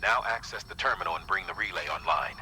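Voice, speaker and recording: Guy playing a game commander man, deep voice, measured n earnest, encouraging, music behind him. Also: lightly acted. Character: earnest male mission commander guiding a courier. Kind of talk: video game cutscene dialogue.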